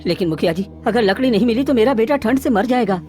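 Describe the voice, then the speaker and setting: A woman's voice: a little high, expressive, quick pace, warm tone, soft background music, natural voice. A woman voicing a poor, desperate village father in a cartoon, earnest and pleading. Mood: pleading, defiant. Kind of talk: melodramatic cartoon dialogue acting.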